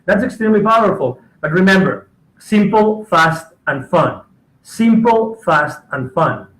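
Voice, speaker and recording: A man's voice: high-pitched, expressive, measured pace, fairly bright tone. A man speaking as a coach, enthusiastic and emphatic, repeating his key points. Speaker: guest coach. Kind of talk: casual conversation. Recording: echoey room.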